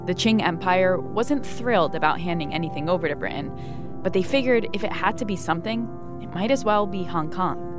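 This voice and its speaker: A woman narrates a scripted history explainer in a conversational, brisk way. Her voice sits somewhat low, and music plays clearly beneath her. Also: expressive. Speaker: video host and narrator.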